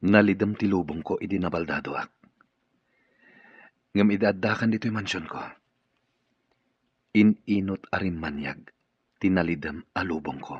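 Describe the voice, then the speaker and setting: A man's voice: deep voice, moderate pace, pauses often, husky, dry recording. A man acting in a radio drama as a stern, commanding boss who has been betrayed. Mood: tender, earnest. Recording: dull muffled radio drama recording.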